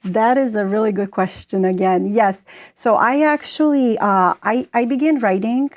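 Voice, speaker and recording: A woman in her forties from Bosnia, speaking English, answering as an author in an interview with thoughtful pauses and fillers. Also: fairly high pitch. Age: 40s. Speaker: author and guest.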